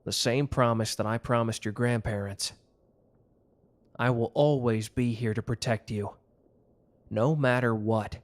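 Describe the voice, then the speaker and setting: A tender young mafia protector, voiced by a man in an intimate ASMR roleplay. He talks softly and close, reassuring and earnest, with a voice of middling pitch. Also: fairly even intonation, measured pace, long pauses, lightly acted.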